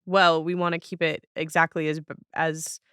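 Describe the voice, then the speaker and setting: A woman's voice: sits low, level tone, moderate pace, a bit bright, smooth, no echo, studio quality. A woman hosting a casual podcast interview, speaking in a reflective, agreeable and informal way.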